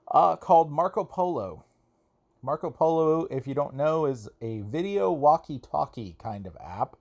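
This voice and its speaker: A man's voice: mid-range voice, a little mellow. A man talking casually and emphatically as a podcast host, speaking on his own and repeating words for effect. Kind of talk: casual solo podcast monologue.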